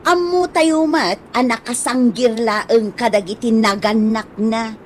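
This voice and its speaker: A woman's voice: on the high side, measured pace, slight background noise, close to an everyday voice. A woman playing a wife who questions and reasons with her husband in an Ilocano comedy radio drama. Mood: questioning.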